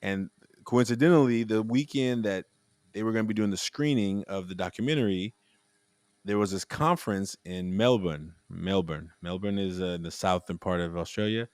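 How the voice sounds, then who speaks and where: A man's voice: on the low side, normal speed, no room sound. Dry-sounding man telling a rambling story. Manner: rambling, restarts often, animated storytelling. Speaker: podcast host. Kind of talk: casual conversational interview.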